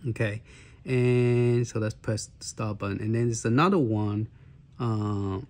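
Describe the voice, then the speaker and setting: Hoarse man demoing a handheld. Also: fairly low pitch, darkish tone. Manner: halting, many fillers and restarts. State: hoarse, clearing his throat. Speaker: handheld gaming reviewer. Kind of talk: casual walkthrough demo.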